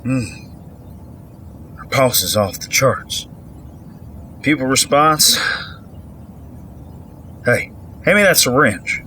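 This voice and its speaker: Folksy, animated country doctor. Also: male voice, mid-range voice, takes it slowly, pauses often, slight background noise. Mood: soothing. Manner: folksy, drawling endearments. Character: folksy older male country doctor, kindly and unhurried. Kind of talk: audio drama acting.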